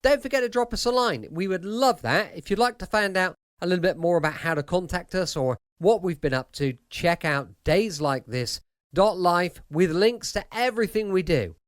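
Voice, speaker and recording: A man's voice: high-pitched, animated, normal speed, no room sound, studio quality. A man hosting a nighttime podcast, giving a soothing scripted introduction to an evening show. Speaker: podcast host.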